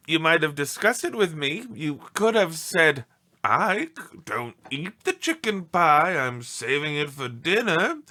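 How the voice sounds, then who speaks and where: A man's voice: high-pitched, gravelly, bright, forceful tone, voice pushed up, full character voice. A man voicing an indignant, self-pitying pet dog in a theatrical storybook read-aloud. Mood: indignant, hurt. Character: aggrieved melodramatic pet dog writing pleading letters home.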